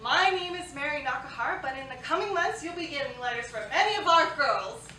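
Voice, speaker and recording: A high, ringing young woman's voice from a stage actress, playing a cheerful leader of wartime pen-pal girls. She projects brightly in a staged reading, heard from a distance with faint noise in the room. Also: lively intonation, brisk. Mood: cheerful, playful. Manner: upbeat, projected stage delivery. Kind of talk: staged reading of a historical play. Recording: echoey stage, distant mic, background noise.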